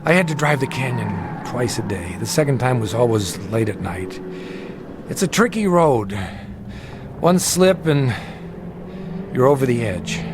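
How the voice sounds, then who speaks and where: An actor in a radio drama playing a haunted, sleepless patient who talks in a halting, edgy, confiding way, afraid of his own imagination. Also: medium pitch, sing-song, noisy background. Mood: dread, recollecting. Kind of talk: audio drama acting.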